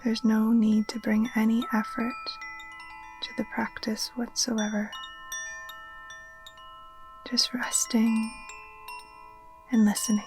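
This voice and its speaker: A woman gently guiding a relaxation meditation in a soft, breathy, near-whispered voice, soothing and unhurried. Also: a little high, little pitch movement, music in the background. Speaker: yoga nidra teacher. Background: occasional chimes.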